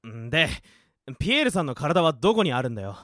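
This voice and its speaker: A man's voice: fairly high pitch, big pitch swings, quick pace, a bit bright, no echo, clean studio sound. A man playing a level-headed, exasperated teenage boy in a Japanese anime-style radio drama, blunt and grumbling. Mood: irritated.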